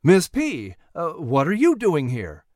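A man's voice: a little high, huge pitch swings, slow, darkish tone, dry recording, studio quality, heavily acted. A man playing a polite, helpful male character in a storybook, friendly and a little hesitant. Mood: puzzled, kindly.

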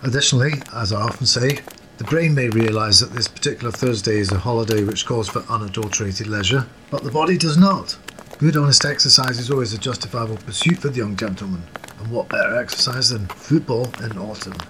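An actor playing a genteel, proud yet modest Scottish football coach in a period audio drama, speaking with formal old-fashioned courtesy. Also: male voice, mid-range voice, fairly quick, background noise, natural voice. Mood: dignified, genial.

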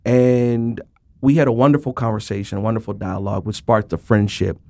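A man's voice: on the low side, level tone, fairly quick, vocal fry, mellow, dry recording. A man hosting an interview, drawing out his guest's story with encouraging, affirming prompts. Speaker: podcast host. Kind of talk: conversational interview.

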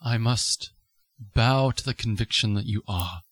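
A resigned young gentleman, played by a man, speaks slowly and coldly. His words are clipped and formal in a dry recording, in theatrical radio-drama style. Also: lowish voice, dry recording. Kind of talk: theatrical radio play acting.

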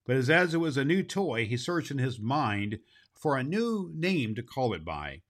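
A man narrates a children's story. His voice sits at a medium pitch and rises and falls a great deal. The delivery is an expressive scripted reading. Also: normal speed.

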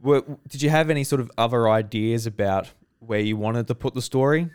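A man's voice: mid-range voice, fairly quick, darkish tone, clean tone. A man interviewing game developers in casual chat, talking loosely with lots of fillers. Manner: chatty, lots of fillers and laughs.

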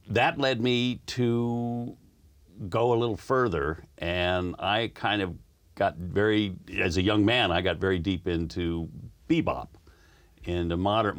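Deep-voiced man with a slight Southern lilt. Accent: slight Southern American. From United States. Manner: easygoing, rambling storyteller. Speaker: guest. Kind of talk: relaxed conversational interview.

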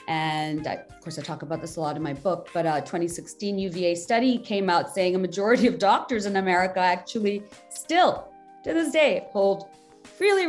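Animated woman host from Bangladesh. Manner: animated and indignant, pitch jumping when stirred. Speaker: podcast host and author. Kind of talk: conversational interview.

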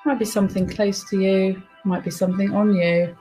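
A woman's voice: mid-range voice, flat delivery, mellow, poor audio. Soothing woman meditation guide over music. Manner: soothing, unhurried instruction with pauses. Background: music behind the voice.

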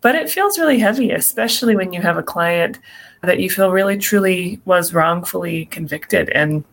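A woman with a slight Minnesotan accent, an appellate attorney answering interview questions in an earnest, measured way, with many "you know" fillers. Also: fairly low pitch, creaky.

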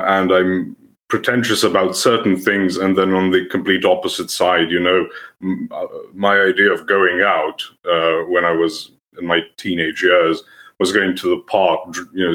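A young man with a Serbian accent, a podcast guest who speaks in a thoughtful, halting way with frequent restarts. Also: deep voice, a bit bright. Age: young adult. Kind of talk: conversational podcast interview.

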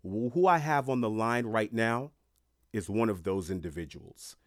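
A podcast host with a lowish male voice, recorded dry and close with no room sound. He is from Haiti and tells stories in an animated way, pushing up for emphasis and dropping low between points. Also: moderate pace, no room sound. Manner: animated storyteller, rising and falling in emphasis. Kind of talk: casual podcast interview.

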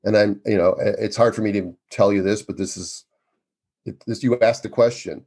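A man in his sixties, an obstetrician speaking as a guest in an interview, with a loud, emphatic and earnest way of talking. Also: on the low side, steady tone, moderate pace, husky. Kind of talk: conversational interview.

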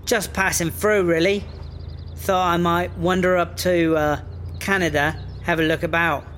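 A man's voice: sits high, little pitch movement, measured pace, fairly bright tone. A man with a British accent playing a cheeky, mouthy smuggler driver in a comedy audio drama, slangy and casual. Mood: breezy, innocent. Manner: slangy, casual, feigning innocence. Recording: faint vehicle noise behind.

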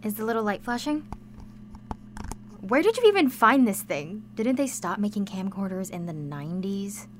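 A woman's voice: medium pitch, big pitch swings, moderate pace, slight background noise, natural voice. A woman playing a frustrated, self-doubting teenage girl mechanic in a radio drama, sardonic and hesitant. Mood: exasperated. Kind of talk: naturalistic radio drama acting.

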